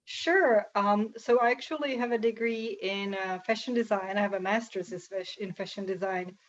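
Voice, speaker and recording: A woman's voice: medium pitch, normal speed, highs cut off, slight background noise. A woman from the Czech Republic with a Czech accent, an artist answering questions as an interview guest. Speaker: guest, textile artist and educator. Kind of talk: conversational interview.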